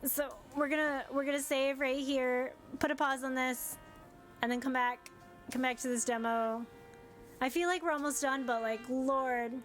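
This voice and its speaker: High-voiced streamer with a strained voice. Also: female voice, steady tone, normal speed, soft background music. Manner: rambling, self-interrupting. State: strained, losing her voice. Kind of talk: casual game-stream chat.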